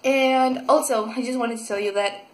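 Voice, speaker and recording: A young woman from Mexico, reviewing a book in a casual vlog, gushing and excitable with many fillers and restarts. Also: fairly high pitch, moderate pace, a little hiss or hum. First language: Spanish. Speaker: book vlogger. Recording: echoey room.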